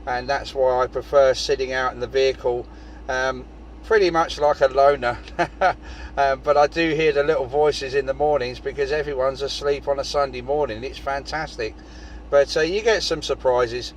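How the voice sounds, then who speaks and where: A British man with an average-pitched voice is having a casual amateur radio chat. He rambles along conversationally. Noise from the vehicle and outdoors sits around his voice. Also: steady tone, moderate pace, poor audio. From United Kingdom.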